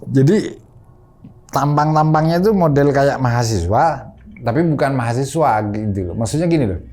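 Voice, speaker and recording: A man's voice: medium pitch, expressive, moderate pace, a little mellow. Polite middle-aged man, hesitant storyteller. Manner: polite, hesitant, repeats himself. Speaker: canteen manager guest. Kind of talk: casual interview chat. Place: studio.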